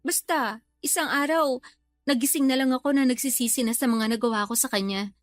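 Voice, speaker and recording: An actress playing a young mother in a radio drama, placating and measured but quietly stubborn. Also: high-pitched, steady tone, no echo, soft background music, close to an everyday voice. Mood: pleading, regretful. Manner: pleading, measured, quietly defiant. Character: young mother, brother's returned girlfriend, placating yet stubborn. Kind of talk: Tagalog radio drama acting.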